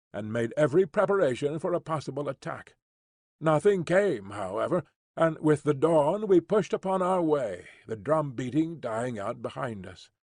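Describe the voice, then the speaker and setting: A man narrating an audiobook in a measured, even storytelling manner, close to the mic. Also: a little high, dry recording. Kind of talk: scripted narration.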